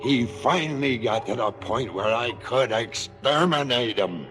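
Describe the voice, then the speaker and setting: An actor playing an embittered, maimed police chief, brooding and theatrical in a radio drama monologue. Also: male voice, mid-range voice, expressive, lightly acted. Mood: coldly triumphant. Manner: deliberate, brooding, theatrical storytelling. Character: embittered, maimed middle-aged police chief, coldly vengeful. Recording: music under the voice. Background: music.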